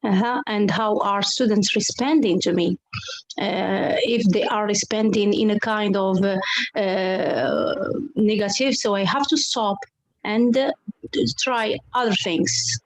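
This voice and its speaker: Halting woman, slow and hesitant. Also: medium pitch, dry recording, low-quality recording. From Morocco. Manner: hesitant, halting, many fillers and restarts. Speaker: English teacher and club coordinator. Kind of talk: online round table discussion.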